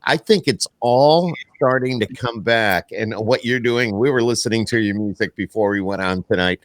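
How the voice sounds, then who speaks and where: A man's voice: lowish voice, normal speed, no echo. An American man hosting a casual interview, sharing his opinions with enthusiasm. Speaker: podcast host.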